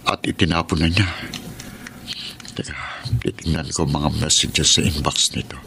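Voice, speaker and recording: Low-voiced hurt Filipino radio drama husband. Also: male voice, steady tone, normal speed, gravelly, background noise. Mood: suspicious. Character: hurt, suspicious husband, a working man confiding his marital troubles. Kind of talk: Tagalog radio drama acting.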